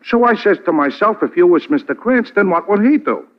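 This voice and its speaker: Dull old radio recording, comic new york cabbie, highish voice, repeats himself, earnest. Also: male voice, expressive, brisk. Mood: troubled, earnest. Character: excitable, comic, uneducated New York cab driver. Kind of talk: 1940s radio drama acting.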